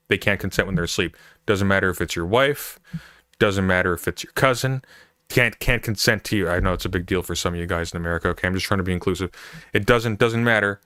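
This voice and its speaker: A man's voice: lowish voice, a bit bright, no room sound, studio quality. A man hosting a casual reaction stream, talking over clips in an exasperated, emphatic way and repeating himself.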